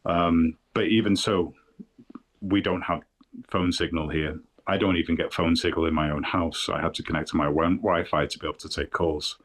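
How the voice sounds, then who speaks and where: Deep-voiced North Welsh man. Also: fairly even intonation. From Wales. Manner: thoughtful, unhurried, hedging. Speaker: podcast guest. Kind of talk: casual podcast chat.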